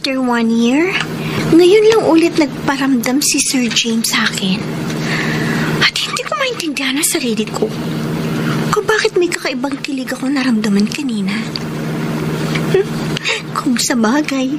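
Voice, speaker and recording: A woman's voice: high voice, lively intonation, a little slow, pauses often, very noisy. A woman acting in a Filipino radio drama, playing a sassy, sentimental young student torn over romance. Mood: reflective. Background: music.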